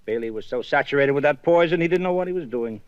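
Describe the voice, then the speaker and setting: An actor playing an eager, easygoing detective partner in a 1950s radio drama, upbeat and casual. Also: male voice, a little high, lively intonation, fast, clean tone, no echo, close to an everyday voice. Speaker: police detective. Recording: dull old radio broadcast.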